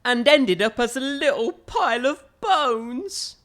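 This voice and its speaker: A woman's voice: high voice, expressive, slow pace, a bit bright. A woman storyteller reading a children's storybook expressively, with theatrical, emphatic phrasing.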